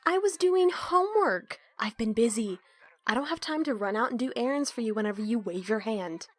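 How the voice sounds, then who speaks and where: A woman's voice: on the high side, lively intonation, brisk. A woman playing a snarky, put-upon young student accomplice in an audio roleplay, trading sarcastic banter. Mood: defensive. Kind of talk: audio drama roleplay dialogue.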